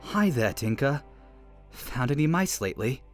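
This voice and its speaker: A man's voice: medium pitch, normal speed, music in the background, lightly acted. A man playing an eager young stable boy in an audio drama. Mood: friendly. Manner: excitable, wistful.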